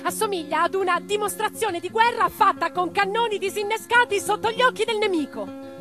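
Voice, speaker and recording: High-voiced, impassioned revolutionary woman. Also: fairly quick, over music. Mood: fiery, oratorical. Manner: declamatory, dramatic, swinging from hushed to forceful. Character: impassioned female socialist revolutionary, intense, reflective letter-writer. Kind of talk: theatrical radio drama monologue, reading letters and speeches.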